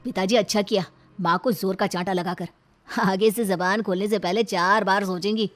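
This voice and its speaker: A woman's voice: mid-range voice, fairly even intonation, normal speed, soft background music, natural voice. A woman voicing a domineering, sneering young husband who barks orders in a cartoon story. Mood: scornful. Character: domineering, scornful young husband, a spoilt angry son.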